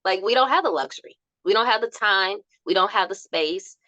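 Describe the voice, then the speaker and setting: A young woman with a soft voice telling stories in a candid podcast chat, acting out quotes as she goes. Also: mid-range voice, a little fast, no room sound, lo-fi sound. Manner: animated storytelling with laughs and acted-out quotes.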